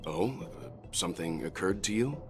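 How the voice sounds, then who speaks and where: A courteous, mature male traveller in a space adventure game. His voice is very low, and he talks in a measured, earnest way. Music plays clearly behind him. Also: animated. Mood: polite. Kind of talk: scripted video game voice acting.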